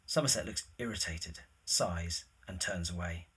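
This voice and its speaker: Bright, husky male narrator. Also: low voice, a little slow. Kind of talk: scripted scene narration.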